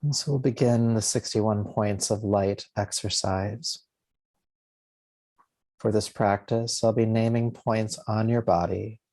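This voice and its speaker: A man leading a guided meditation as a yoga nidra teacher, speaking gently and soothingly in spaced, unhurried phrases. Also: fairly low pitch, steady tone.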